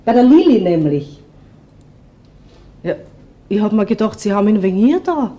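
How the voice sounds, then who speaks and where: A woman's voice: mid-range voice, very animated, moderate pace, mellow, dull audio, natural voice. A woman playing a chatty, nosy working-class landlady who gossips in colloquial Viennese dialect in a radio crime play. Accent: Austrian, Viennese.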